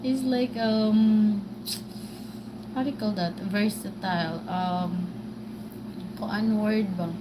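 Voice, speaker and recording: A woman's voice: mid-range voice, fairly even intonation, slow pace, long pauses, noisy background. A woman vlogger with a Filipino Bisaya accent, talking live to her viewers in a rambling way, often stopping with filler words and restarting. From Philippines. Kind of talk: live-stream rant to viewers.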